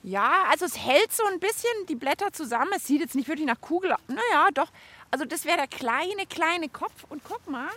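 A woman's voice: high-pitched, lively intonation, fairly quick, fairly bright tone. A woman co-hosting a children's radio show outdoors, chatting cheerfully and thinking aloud as she builds. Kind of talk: lively radio chat while building. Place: outdoors among autumn leaves.

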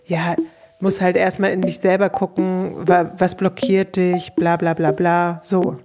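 Female interviewee, lowish voice, casual conversational recollection, faint music bed. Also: little pitch movement, unhurried, darkish tone. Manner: colloquial, conversational. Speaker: interviewee telling own story.

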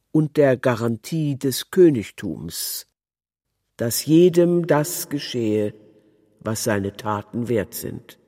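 A man reading a literary narration in a grave, deliberate manner. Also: mid-range voice, mellow, no echo, studio quality.

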